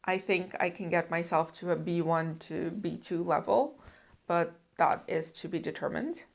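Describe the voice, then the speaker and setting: A woman's voice: on the low side, vocal fry. A young woman from the Netherlands talking to camera about her language goals, earnest and reflective. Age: young adult. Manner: earnest, reflective, measured with some fillers. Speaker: language learning vlogger. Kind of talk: casual talk to camera.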